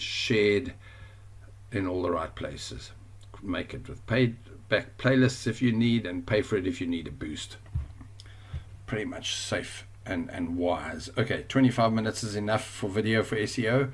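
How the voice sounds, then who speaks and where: South African man, online tutor, talks in loose asides, restarts his sentences, somewhat low voice. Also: moderate pace. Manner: rambling, self-correcting, chatty. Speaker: online course instructor. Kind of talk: screen-share tutorial.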